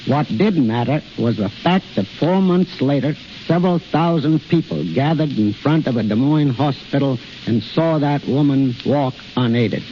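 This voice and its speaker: A man's voice: mid-range voice, normal speed, few pauses. A middle-aged man speaking formally and precisely as a guest in a scripted 1930s radio interview. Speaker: institute head, guest speaker. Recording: hissy, muffled 1930s radio recording.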